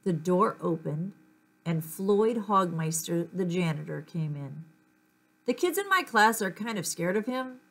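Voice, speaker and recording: A woman's voice: lowish voice, lively intonation, unhurried. Woman reading a children's story aloud. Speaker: story reader. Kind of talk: expressive children's book read-aloud.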